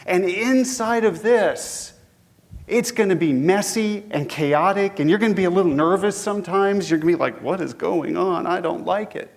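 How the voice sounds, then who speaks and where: A man's voice: sits high, normal speed, creaky. A man with a head cold, sounding a little sniffly, giving a conversational conference lecture and putting questions to the audience. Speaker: guest lecturer.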